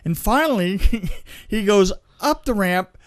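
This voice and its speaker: A man in casual chat, telling anecdotes in a rambling, animated way. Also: high voice, takes it slowly, dry recording, studio quality. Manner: rambling storyteller, acts out sound effects.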